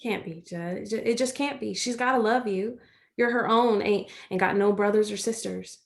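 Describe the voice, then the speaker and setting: A woman's voice: medium pitch, normal speed, creaky, natural voice. A woman playing a caring country girl in a stage play, folksy and direct with her best friend. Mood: concerned.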